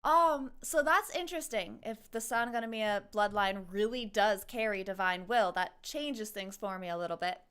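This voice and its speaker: A woman's voice: mid-range voice, normal speed, few pauses, a bit bright, clear, resonant tone. A woman doing casual livestream commentary, rambling and thinking aloud as she reacts to a game. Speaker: streamer reacting to a game trailer.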